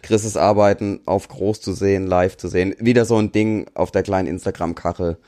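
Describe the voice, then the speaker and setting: A man with a deep voice speaks as an interview guest. His talk is casual and colloquial, full of slang and English loanwords. The sound is dry, with very little room in it. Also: fairly even intonation, a little fast, dark tone, no echo. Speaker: interview guest, tattoo artist.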